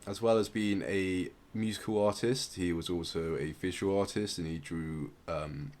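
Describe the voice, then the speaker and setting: A man hosting a podcast, talking casually and presenting in a halting, hesitant way. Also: low-pitched, fairly even intonation, darkish tone. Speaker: podcast host. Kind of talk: casual podcast presenting with some read-out passages. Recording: noticeable background noise.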